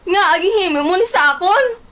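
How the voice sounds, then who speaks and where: A woman's voice: very high-pitched, lively intonation, moderate pace, fairly bright tone. A woman acting a betrayed, emotional young woman with a Filipino accent in an amateur student radio drama. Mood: hurt, angry. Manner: emotional, exclaiming. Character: betrayed, anguished young woman named Tricia, tearful and indignant.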